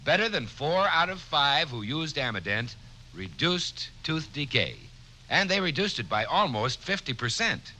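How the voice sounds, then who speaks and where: A man's voice: a little high, very animated, a little slow, bright, forceful tone, a little hiss or hum, rough recording. A man announcing an earnest, persuasive 1940s radio commercial.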